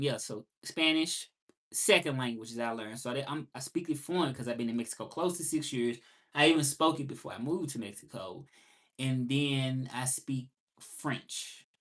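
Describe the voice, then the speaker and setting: A man's voice: medium pitch, fairly bright tone. Southern man, 30s, reflective livestream host, repeats key points. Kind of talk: casual live monologue.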